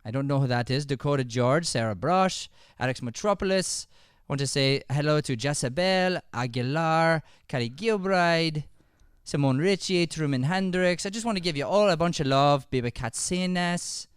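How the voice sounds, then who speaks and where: A man's voice: on the high side, moderate pace, clear, resonant tone, dry recording. A man with a put-on Irish accent, hosting a live improv show with casual banter to the audience on a close microphone. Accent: put-on stage Irish. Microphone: close podcast microphone.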